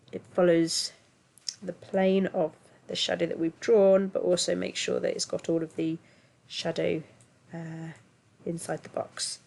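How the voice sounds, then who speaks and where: A woman with a stuffy, bunged-up voice from a cold, talking casually through a software tutorial with lots of ums. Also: on the low side, vocal fry, a little hiss or hum. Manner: chatty, self-deprecating, filler-heavy. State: congested from a cold. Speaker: tutorial host.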